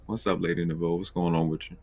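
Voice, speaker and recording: Guy hosting a live chat, real low voice, slangy and conversational. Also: little pitch movement, fast, a little mellow. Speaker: live show host. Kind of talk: casual livestream chat.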